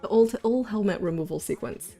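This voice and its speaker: A woman's voice: mid-range voice, brisk, creaky, mellow, soft background music. A woman animator chatting casually on a livestream about her sketches, self-deprecating and talkative.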